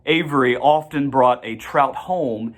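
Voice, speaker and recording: A reader narrating a children's storybook aloud in measured, deliberate phrases with the occasional stumble. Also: medium pitch.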